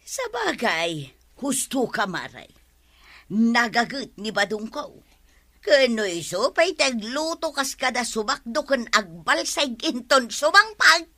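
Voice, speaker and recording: A woman's voice: a little high, sing-song, takes it slowly, pushed and bright, exaggerated voice. A woman with an Ilocano accent playing a nagging, complaining wife in a radio comedy drama.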